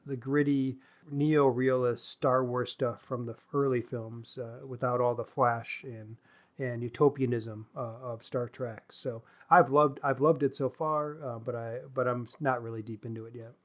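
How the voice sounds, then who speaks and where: A man's voice: medium pitch, steady tone, measured pace. Mid-voiced man chatting casually. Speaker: podcast co-host. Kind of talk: casual chat.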